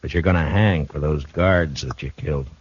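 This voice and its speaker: An actor playing a steady, shrewd frontier marshal in a 1950s radio drama, speaking in a calm, dry, measured way. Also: male voice, extremely low pitch, husky. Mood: calm, probing. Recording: dull old radio broadcast recording.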